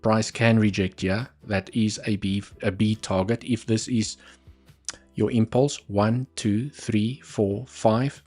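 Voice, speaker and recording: Low-voiced South African man thinking aloud. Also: fairly even intonation, slow pace, a little mellow, faint music behind. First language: Afrikaans. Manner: thinking aloud, muttering asides. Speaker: livestream trading host. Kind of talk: live market analysis commentary.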